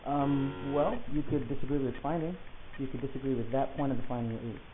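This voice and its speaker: Through a tinny, phone-like recording comes a man acting a male defendant in an audio drama. He talks quickly and argues in an insistent, pedantic way, methodical and stubborn, with faint hiss behind him. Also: mid-range voice, fairly even intonation, natural voice. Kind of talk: argumentative dialogue in an audio play.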